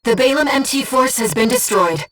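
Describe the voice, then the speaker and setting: An actress playing a gentle, devoted female voice in a pilot's head, a composed and precise mission guide in a video game. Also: high voice, animated, moderate pace, distant mic, faint music behind. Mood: urgent. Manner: composed, precise, earnest delivery. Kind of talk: video game voice acting, tactical briefing.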